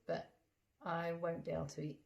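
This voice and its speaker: A woman with a slightly low voice plays a guest in a comedy sketch. She answers each awkward question in a patient, plain, matter-of-fact way. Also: little pitch movement, normal speed, creaky, a little mellow. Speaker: puppeteer acting a dinner guest.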